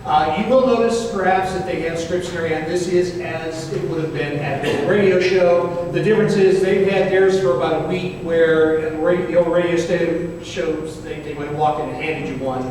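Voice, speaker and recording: A man's voice: fairly high pitch, steady tone, normal speed, few pauses, a little mellow. A man introducing a stage show to an audience as its presenter, informally and with jokey asides. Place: museum venue in an old courthouse, before live audience. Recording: very echoey hall, distant mic, faint noise.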